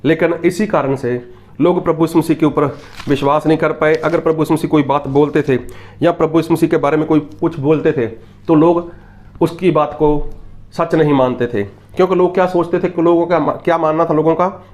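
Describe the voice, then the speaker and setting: A preacher from Punjab speaks with a fairly high-pitched man's voice. He teaches by repeating his points and asking rhetorical questions, and he reads Bible passages aloud in an emphatic sermon style. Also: fairly even intonation, brisk, a little mellow. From Punjab, India. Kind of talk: Hindi sermon with Bible readings.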